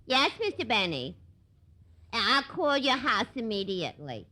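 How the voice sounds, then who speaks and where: A woman's voice: a little high, sing-song, unhurried. An actress playing a sarcastic, wisecracking switchboard operator with a sardonic drawl in 1950s radio comedy. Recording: very noisy old radio recording.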